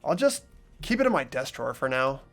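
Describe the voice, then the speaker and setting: Casting: man as hesitant teenage boy for a game, animated intonation, mild and questioning, faint music behind. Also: mid-range voice, fairly quick. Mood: reluctant. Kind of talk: voiced visual novel game playthrough.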